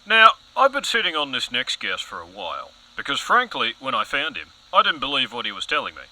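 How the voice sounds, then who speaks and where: A man acts out a theatrical TV news anchor in an audio satire. His voice is sharp and forceful and swoops widely in pitch. He is gullible, insinuating and deeply earnest in his suspicion. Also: huge pitch swings, brisk, heavily acted, rough recording. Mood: conspiratorial. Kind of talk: satirical broadcast news audio comedy acting.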